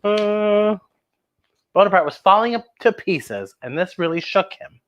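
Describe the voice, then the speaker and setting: Very animated woman hosting kids' story time. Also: mid-range voice, unhurried, muffled recording. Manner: animated, exaggerated exclamations, asks listeners questions; draws out words for emphasis. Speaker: children's story time host. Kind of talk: playful read-aloud and chat to young children.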